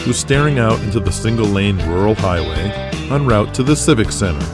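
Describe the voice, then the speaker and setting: A man narrates a scripted drama in a fairly low voice. Music plays clearly behind his narration. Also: animated, fairly quick.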